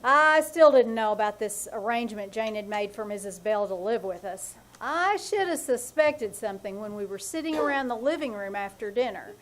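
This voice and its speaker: Woman cast as exasperated husband, dry wit, vintage radio comedy, high voice. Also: expressive, moderate pace, few pauses, a little hiss or hum, natural voice, low-quality recording. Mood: wry. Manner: dry, wry, sighing asides. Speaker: actor in live old-time radio play. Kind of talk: 1940s radio comedy acting. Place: theater stage.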